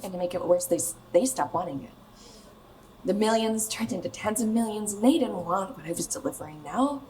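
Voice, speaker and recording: An American woman playing a bitter, wounded pop star of twenty-seven in a naturalistic radio play, speaking in a halting, emotional, sharp-tongued way. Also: medium pitch, animated, a little hiss or hum, natural voice, poor audio. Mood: hurt, confessional. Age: young adult.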